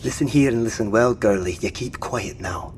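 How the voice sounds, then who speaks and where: Man playing gruff British military sergeant, commanding, wary, slangy, audio drama, clearly noisy rumbling background. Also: normal speed, natural voice.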